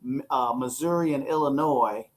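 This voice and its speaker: A middle-aged American man hosting a podcast, chatting casually in a rambling, slangy, animated storytelling way. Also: mid-range voice, moderate pace. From St. Louis, USA. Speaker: podcast host. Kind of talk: casual chat.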